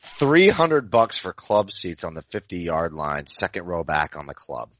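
An American man in his forties with a low-pitched voice talks as a sports podcast co-host. He is enthusiastic and chummy, with plenty of casual slang. The recording is close and dry, with no room sound. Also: sing-song, measured pace, no echo. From Maine, United States.

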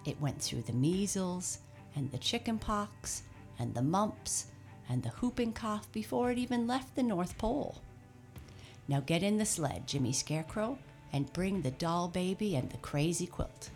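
A woman voicing a brisk, kindly old Santa Claus who speaks heartily and gives cheerful commands. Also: lowish voice, expressive, darkish tone, faint music behind, voice pushed up. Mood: brisk, reassuring.

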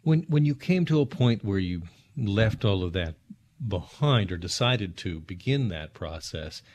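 A man hosting an interview, putting considered, attentive questions to a guest in a measured, conversational way. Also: lowish voice, expressive, a little mellow. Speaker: interviewer, host.

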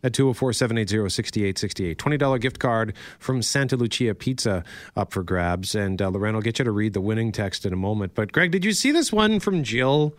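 A man's voice: lowish voice, expressive, brisk, no echo. A man co-hosting a morning radio show, chatting casually and reading out the phone number and prizes from his studio mic. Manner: conversational, reads phone numbers and promos. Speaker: radio co-host. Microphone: studio mic, separate room.

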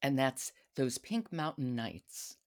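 A woman's voice: extremely low pitch, big pitch swings, takes it slowly. A woman hosting a podcast, chatting and asking questions in a conversational book discussion. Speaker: podcast host.

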